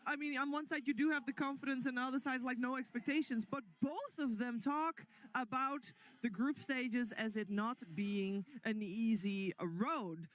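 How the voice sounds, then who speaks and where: A woman's voice: a little high, normal speed. Fluent esports desk host woman. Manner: fluent, hands off to co-hosts. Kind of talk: analyst desk commentary.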